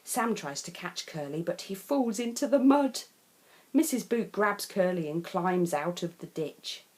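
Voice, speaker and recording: A woman with an English accent narrating a children's storybook in a simple, expressive manner. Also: lowish voice, unhurried. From England. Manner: simple, clearly phrased, expressive.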